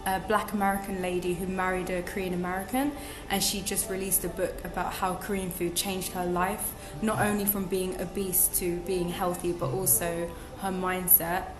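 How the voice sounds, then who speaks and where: A young woman talks through her answers in a loose, reflective way, filling gaps with ums and restarting phrases. Her voice rings in a roomy, echoey space. Music plays clearly underneath. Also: fairly low pitch, flat delivery, moderate pace, hardly any pauses. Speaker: guest. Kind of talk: casual interview chat. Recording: echoey room with music behind.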